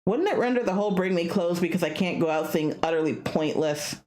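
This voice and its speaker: A woman streamer with a playful, animated manner, reading a visual novel aloud with casual asides. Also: on the low side, brisk.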